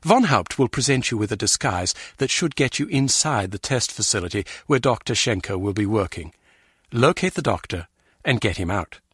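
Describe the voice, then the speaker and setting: An actor playing a stern, condescending spy agency superior in a video game, speaking in a formal, clipped manner. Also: male voice, on the low side, lively intonation, moderate pace, fairly bright tone, husky, no room sound, clean studio sound. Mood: businesslike. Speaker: spymaster giving mission briefing. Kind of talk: video game voice acting, scripted briefing.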